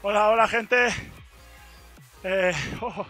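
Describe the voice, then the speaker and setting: A young man with a hoarse, worn-out voice talks excitedly to his stream viewers and keeps repeating himself. He speaks into a headset mic in a studio booth. His voice is high and bright, with faint music playing behind him. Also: slow pace. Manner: excited, repetitive. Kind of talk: casual stream chat.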